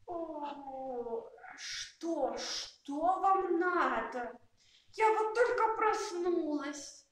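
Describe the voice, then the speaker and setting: Woman playing a high, drowsy branch. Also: animated, drawn-out words, exaggerated voice. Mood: sleepy, grumbling. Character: sleepy, unwilling tree branch. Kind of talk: amateur puppet theatre acting. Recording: very echoey room, distant mic.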